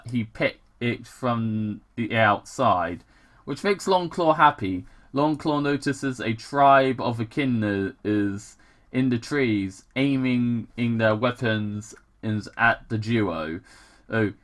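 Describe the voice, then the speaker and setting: An excitable host reads fan fiction aloud with a bright, forceful voice and very lively intonation. The delivery is casual and halting, stumbling and wandering into self-deprecating asides. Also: medium pitch. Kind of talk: casual dramatic reading with rambling commentary.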